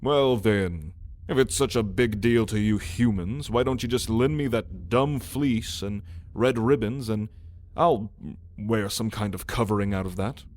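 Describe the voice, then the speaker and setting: A man's voice: fairly low pitch, darkish tone, lightly acted. A man playing a big old male dragon in human form, wry and playful, in an ASMR roleplay story. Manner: wry, theatrical, unhurried.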